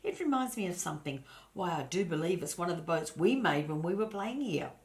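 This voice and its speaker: A woman's voice: fairly low pitch, very animated, fairly quick. An eager little girl from a storybook, as an older woman reads her. She is curious and exclamatory, a child who readily speaks her mind. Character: young girl, curious, excitable, scolding her little dog.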